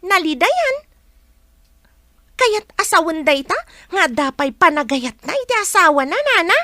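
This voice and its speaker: A woman playing an inquisitive, gossipy young village woman in a radio drama, talking in an animated, questioning way. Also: extremely high pitch, a little slow, no room sound, studio quality, exaggerated voice. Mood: curious, excited.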